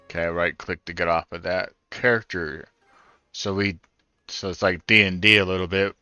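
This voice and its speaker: A man's voice: sits low, steady tone, moderate pace, bright, forceful tone, clear, resonant tone, lo-fi sound. A man giving casual first-time commentary on a video game, thinking aloud as he plays. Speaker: gaming streamer. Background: game music.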